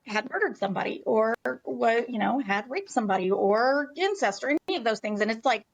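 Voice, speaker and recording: A woman's voice: on the high side, lively intonation, brisk, vocal fry, rough recording. An American woman telling a personal story as an interview guest in a candid, emphatic, conversational way.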